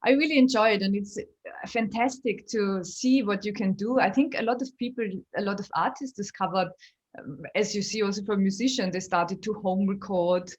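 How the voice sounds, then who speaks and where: Brief: Austrian-born woman comic, second-language English, chatty and laughing, mid pitch. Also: moderate pace. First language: German. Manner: chatty, laughs often while telling stories. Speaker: comedian, guest. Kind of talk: casual interview chat.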